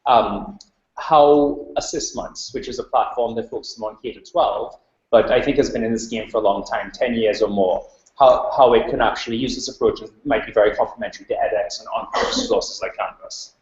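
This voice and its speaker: A man's voice: medium pitch, a little mellow. Trinidadian male researcher, seminar talk, roomy and a bit lo-fi. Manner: fast-flowing, many ums. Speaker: invited speaker, researcher. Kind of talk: academic talk. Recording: roomy, dull lo-fi recording.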